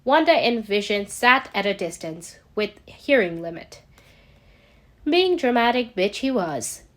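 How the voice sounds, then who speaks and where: A woman's voice: mid-range voice, very animated, measured pace. A woman reading a scripted story aloud as an amateur audiobook narrator. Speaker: amateur audiobook reader of fan fiction.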